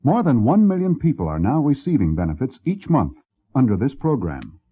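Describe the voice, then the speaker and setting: A man's voice: medium pitch, wildly swooping pitch, normal speed, slightly rough. A man reading a formal, scripted public service announcement. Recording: slightly dull, muffled recording.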